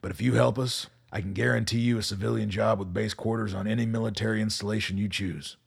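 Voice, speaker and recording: An actor playing a weary middle-aged colonel in a radio drama, measured and commanding. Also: male voice, fairly low pitch, fairly even intonation, full character voice. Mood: firm, reassuring. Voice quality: gravelly, husky. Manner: measured, authoritative.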